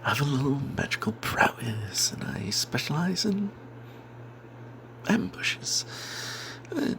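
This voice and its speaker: A sly, money-minded cleric adventurer, acted by a man, whispers his fantasy tale in a slow, breathy voice. The tone is confiding and sardonic, with a crackly fry at the edges and hesitant asides. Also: medium pitch. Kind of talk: first-person fantasy tale told in whispers, ASMR.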